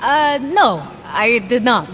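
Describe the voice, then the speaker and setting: A dutiful young game heroine. Her voice is fairly high and lively, plain-spoken with some scepticism, ringing in a long reverb. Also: slow, slight background noise, full character voice. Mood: hesitant, wary. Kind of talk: video game dialogue.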